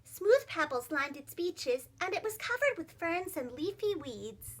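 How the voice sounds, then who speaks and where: Very high-pitched woman reading a storybook. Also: measured pace, slight background noise. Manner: expressive, simple phrasing for young children. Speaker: preschool teacher and storyteller. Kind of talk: children's storybook narration.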